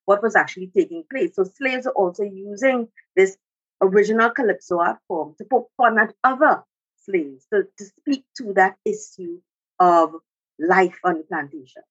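A woman's voice: mid-range voice, normal speed, breathy edge, highs cut off. A woman with a Trinidadian accent speaks as an academic guest, explaining formally and restating points with emphasis. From Trinidad and Tobago. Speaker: academic guest, music sociologist. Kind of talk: explanatory interview talk.

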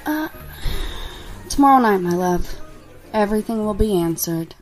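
A woman's voice: medium pitch, expressive, normal speed, music in the background, lightly acted, low-quality recording. A woman playing an anxious, evasive fiancée in an audio drama, speaking hesitantly and guardedly. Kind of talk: scripted audio drama acting.

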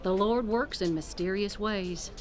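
A woman's voice: medium pitch, a little slow, soft background music, close to an everyday voice. An actress playing a composed, condescending woman author in an audio drama, with an arch manner. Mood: surprised, wry. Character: haughty, unflappable woman author of religious books.

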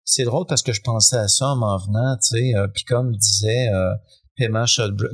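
A man with a Québécois French accent, talking as a guest in a relaxed, rambling way with many fillers. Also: on the low side, normal speed, husky. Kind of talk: casual conversation.

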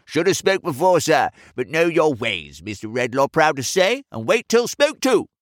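High-pitched, cheery old servant. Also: male voice, very animated, normal speed, no room sound, studio quality. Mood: deferential, merry. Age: older. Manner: deferential, rustic speech, chuckling reminiscence. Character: cheery, garrulous 87-year-old male servant, proud and deferential.

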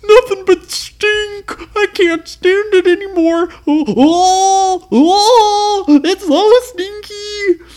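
A woman's voice: very high-pitched, very slow, darkish tone, pitched above the performer's own voice, full character voice. A woman voicing a scared, whiny male pirate crewman who wails and complains in a bedtime story. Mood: wailing. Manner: wailing and complaining.

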